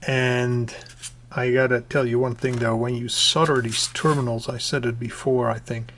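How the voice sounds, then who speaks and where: Even-toned man, halting step-by-step tutorial. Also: mid-range voice. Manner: halting, step-by-step, repeats instructions. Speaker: presenter of a do-it-yourself electronics tutorial. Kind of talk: informal hands-on tutorial explanation. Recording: clearly noisy home recording with clicks.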